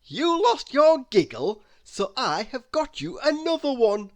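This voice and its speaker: A woman's voice: high-pitched, very animated, unhurried, voice pushed up, heavily acted. A woman voicing a kindly, cheerful storybook man who speaks in a hearty, emphatic, hopeful way. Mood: merry. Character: kindly, cheerful man, eager to help his friend.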